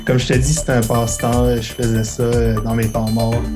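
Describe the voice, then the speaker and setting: A young man from Belgium, raised in Quebec, answering interview questions in a hesitant, relaxed way with many fillers. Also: medium pitch, moderate pace, loud music behind the voice. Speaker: interview guest, filmmaker.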